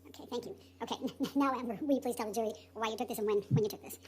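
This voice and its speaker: A woman's voice: on the high side, very fast, a little mellow, slight background noise. A woman lawyer formally questioning a witness in court, her voice run through a voice changer.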